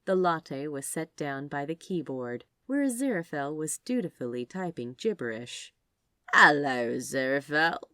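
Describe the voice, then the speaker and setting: Low-voiced, expressive woman narrator. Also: moderate pace, hardly any pauses, dry recording, studio quality. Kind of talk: expressive scripted fiction narration.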